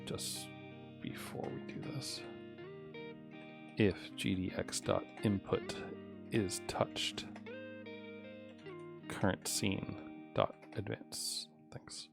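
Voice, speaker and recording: A man's voice: deep voice, steady tone, drawn-out words, gravelly, pauses often, music in the background. A man streaming live as he codes, talking casually and unscripted, rambling as he thinks aloud. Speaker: live streamer coding a game.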